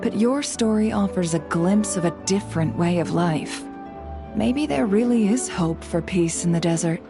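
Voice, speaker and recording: An actress voicing a calm, hopeful adult woman in a game, speaking in a reflective way. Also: mid-range voice, normal speed, vocal fry, over music, natural voice. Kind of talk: video game dialogue.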